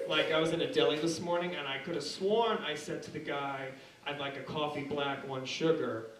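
A man in his thirties doing a stand-up comedy routine, theatrical, with mock-formal flourishes. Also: fairly high pitch. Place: small dark comedy bar. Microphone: handheld stage microphone. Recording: roomy club sound, distant, audience noise. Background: club audience.

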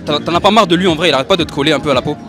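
Fast cheeky young male street interviewer. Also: on the high side, music in the background. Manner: cheeky, provocative. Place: outdoor steps at a carnival. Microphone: handheld mic.

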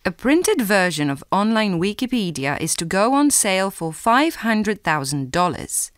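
Woman, lively intonation, dry studio sound, exam listening reader. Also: mid-range voice, measured pace, no room sound. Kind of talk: scripted reading for a listening test.

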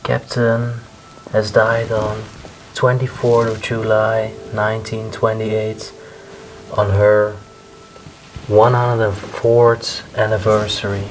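A man's voice: on the low side, level tone, takes it slowly, slight background noise, rough recording. A young man casually narrating a walk through an abandoned house, curious and pointing things out as he goes. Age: young adult. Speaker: urban explorer.

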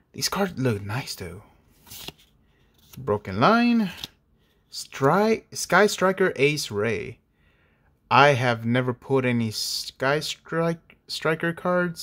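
A man sits at a table late at night, quietly opening card packs and naming each pull at an unhurried pace. His voice is kept low and sounds a little dry and off. He talks in a relaxed, plain way in a middle register. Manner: hushed, unhurried, reading card names aloud. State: voice a bit off, dry throat. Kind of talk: casual pack-opening commentary.

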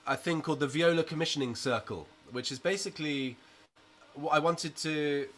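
A man's voice: on the high side, normal speed, a bit bright. A man in his thirties from Britain, a musician guest on a video-call interview, talking in an earnest, outspoken way from a small attic room. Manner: earnest and outspoken, with you-knows. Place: small home room under a sloped roof. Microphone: laptop webcam on a video call.

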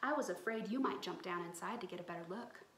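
A woman voicing a bold, quick-witted young country girl in a storybook, sweetly polite and cunning. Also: fairly low pitch, pitched below the performer's own voice, natural voice. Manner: pleading, sweetly cunning folksy talk. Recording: roomy echo, some background noise.

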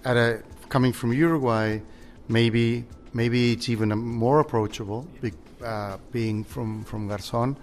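A man from Chile, a winery general manager, talking casually about wine with many halting restarts. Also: mid-range voice, steady tone, slight background noise. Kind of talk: casual conversation.

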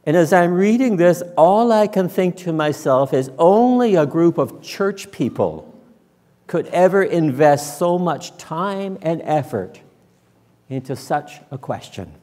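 An older man preaches in a mellow, soft-edged voice that carries through a reverberant church. He speaks slowly and deliberately, in the conversational style of a minister explaining things to a congregation. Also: on the high side, lively intonation. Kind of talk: conversational sermon. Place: large church sanctuary, indoors.

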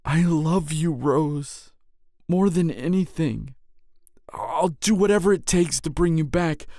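An actor playing a devoted, lovestruck young knight in an audio drama, speaking in a halting, earnest and emotional way. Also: male voice, a little high, lively intonation, mellow, no echo, clean studio sound. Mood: grieving, pleading. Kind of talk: intimate audio drama acting.

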